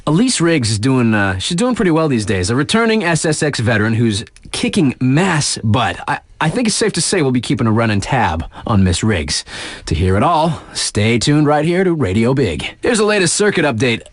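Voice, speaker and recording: A cool, flirty radio DJ from a snowboarding video game. His mid-pitched male voice swings widely up and down as he delivers slangy, emphatic competitor updates. The sound is dry, like a studio booth. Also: sing-song, moderate pace, no echo. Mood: upbeat, confident.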